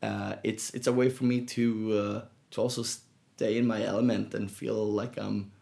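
A man from Sweden with a Swedish accent, a guest speaking in a relaxed, thoughtful way with many fillers and restarts in a casual interview. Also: on the low side, moderate pace, gravelly. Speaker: guest photographer.

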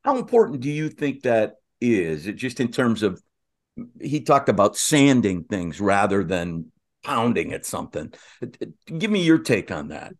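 A man co-hosting a conversational interview, speaking haltingly and repeating himself as he builds up to a question. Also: fairly low pitch, sing-song. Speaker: podcast co-host.

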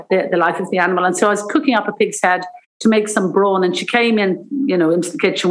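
A woman's voice: medium pitch, rough recording. An Irish woman in her seventies, a cooking school founder telling rambling stories in an interview and acting out the voices she quotes. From Ireland. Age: 70s. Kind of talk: interview, anecdotal storytelling.

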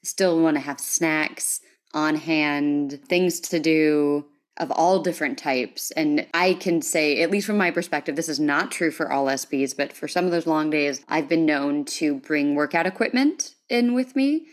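A woman's voice: low-pitched, a little slow. A woman hosting a podcast, speaking casually and chattily in a conversational interview. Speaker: podcast host.

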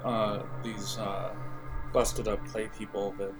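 A man's voice: on the low side, little pitch movement, takes it slowly. A man running a tabletop game as game master, improvising casual narration and often searching for his words. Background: music under the voice.

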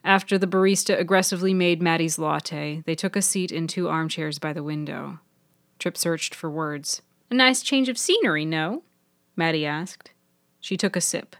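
Female narrator for serial fiction podcast, slightly low pitch, dry close recording. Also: steady tone, normal speed, dry recording. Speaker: author and narrator.

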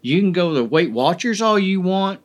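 A man's voice: sits high, lively intonation, normal speed. A middle-aged man hosting his own podcast, talking casually with a lot of swearing, restarts and emphatic repetition. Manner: profane, rambling, emphatic with many restarts. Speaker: podcast host. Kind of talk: casual solo podcast monologue.

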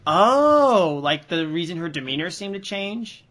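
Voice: medium pitch, very animated, unhurried, fairly bright tone. A podcast co-host chatting casually and thinking aloud in a group discussion.

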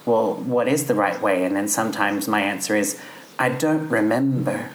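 A man's voice: on the low side, moderate pace. A man co-hosting a casual comedy podcast, telling anecdotes in a chatty way and laughing often. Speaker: podcast co-host. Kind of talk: casual comedic chat, storytelling. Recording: roomy echo with faint noise.

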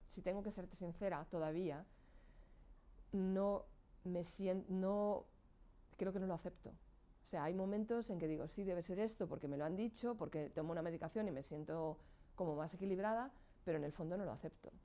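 A woman speaking Spanish as a second language, telling her own story with frequent pauses and restarts. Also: on the low side, mellow. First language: not Spanish. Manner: hesitant, searching for words with frequent restarts. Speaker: guest sharing her experience. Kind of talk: candid personal account.

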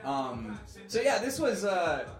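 Casual male podcast host, slightly higher voice, laid-back and jokey, echoey room. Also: very animated, takes it slowly, over music. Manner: joking, laid-back, lots of "like". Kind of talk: casual chat.

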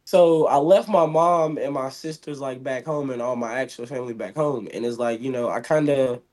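A man's voice: mid-range voice, moderate pace, a little mellow. Young guy from mississippi, light voice, rambles a lot with fillers and restarts, chatting in an interview. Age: 20s. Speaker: guest, pro wrestler. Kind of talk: casual interview.

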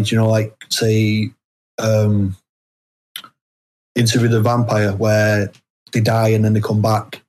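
A man chatting casually as an interview guest, speaking haltingly with hesitant, broken phrasing and repeated false starts. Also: lowish voice, darkish tone. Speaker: guest author.

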